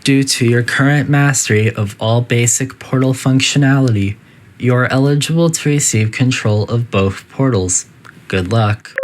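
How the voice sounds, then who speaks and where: A synthetic voice playing a politely detached, quietly menacing artificial intelligence that makes formal, deadpan announcements in a video game test facility. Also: mid-range voice, normal speed, a little hiss or hum, natural voice. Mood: clinically polite. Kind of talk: scripted game announcer narration.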